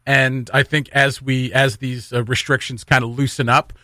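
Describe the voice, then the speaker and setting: A man's voice: mid-range voice, flat delivery, moderate pace, pushed and bright, no room sound, clean studio sound. A man hosting a casual radio show in an upbeat, chatty way. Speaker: radio morning show host. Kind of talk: casual radio chat and interview. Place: radio studio.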